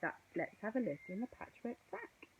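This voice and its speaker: A woman voicing a brisk, unflappable boy hero in a children's storybook, chanting his instructions in a commanding way. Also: mid-range voice, wildly swooping pitch, a little hiss or hum, pitched above the performer's own voice. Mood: confident.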